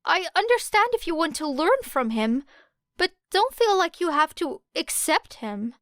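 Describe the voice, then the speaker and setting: Woman putting on a timid, high-pitched teenage boy. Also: sing-song, a little slow, fairly bright tone, dry recording, clean studio sound, exaggerated voice. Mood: gentle, pleading. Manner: hesitant, stammering. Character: timid, earnest, stammering teenage boy student.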